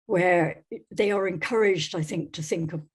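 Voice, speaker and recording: Halting, husky-edged woman in interview. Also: on the low side. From Scotland. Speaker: podcast guest, novelist. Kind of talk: conversational interview answers.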